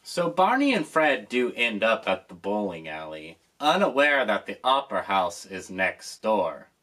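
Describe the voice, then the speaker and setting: Slow, lively-voiced nostalgic host. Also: male voice, fairly low pitch. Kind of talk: nostalgic commentary.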